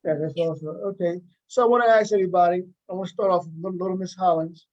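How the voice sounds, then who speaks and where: A man's voice: high-pitched, fairly even intonation, very fast, a little mellow, highs cut off. A man hosting a casual interview over a video call, often restarting and repeating his phrases. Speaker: interviewer, show host.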